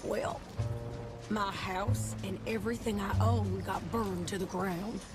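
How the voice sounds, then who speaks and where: A woman's voice: medium pitch, steady tone, slow, close to an everyday voice. An actress playing a fierce, defiant widowed frontier woman turned outlaw in a video game, with a blunt American rural accent. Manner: blunt, feisty, combative. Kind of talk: dramatic video game acting. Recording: loud music under dialogue.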